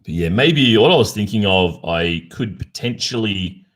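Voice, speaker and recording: A man's voice: fairly low pitch, expressive, moderate pace, fairly bright tone. An Australian man hosting a casual livestream Q&A, chatting informally and reflectively. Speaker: ASMR roleplay creator and livestream host.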